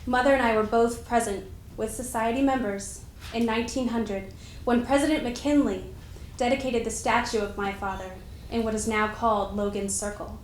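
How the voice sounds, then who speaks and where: A woman playing a dignified, proud senator's daughter, giving a formal, measured first-person dramatic reading. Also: a little high, steady tone, lightly acted. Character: Mary Logan Tucker, dignified senator's daughter, proud society member. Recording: echoey room with faint noise.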